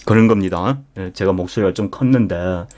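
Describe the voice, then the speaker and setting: Low-voiced man, emphatic stock instructor. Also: fairly quick, darkish tone, slight background noise. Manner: emphatic, asks listeners rhetorical questions; addresses listeners directly and repeatedly. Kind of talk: live market lecture with membership pitch.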